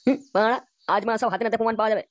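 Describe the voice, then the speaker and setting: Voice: mid-range voice, fairly even intonation, rapid-fire, close to an everyday voice. A performer voicing a hot-headed, crude young male layabout in a comedy cartoon, blustering and swearing. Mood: angry blustering. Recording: noisy background hiss.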